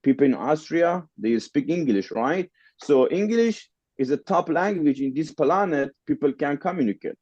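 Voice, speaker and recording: A man's voice: a little high, animated, moderate pace, darkish tone, no echo, highs cut off. Podcast guest, man, Persian accent, non-native English, repeats lines for emphasis. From Middle East. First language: Farsi. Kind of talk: casual interview storytelling.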